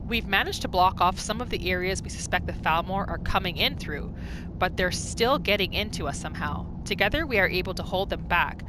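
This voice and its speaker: A woman's voice: fairly low pitch, a little fast, noisy background. A woman hosting a gaming video with casual role-play commentary, talking to herself as she plays. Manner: excitable, exclaims often, talks to herself. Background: game music.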